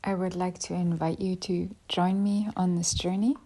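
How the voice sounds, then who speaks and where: A woman guiding a yoga nidra meditation as a teacher, with soft, breathy phrase endings and unhurried pauses. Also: on the low side, little pitch movement. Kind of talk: guided meditation.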